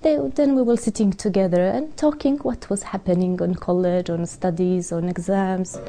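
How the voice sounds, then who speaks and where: Young woman, gravelly and warm. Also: lowish voice, normal speed. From Serbia. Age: young adult. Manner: hesitant, searching for words with many fillers. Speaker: guest. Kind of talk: personal testimony.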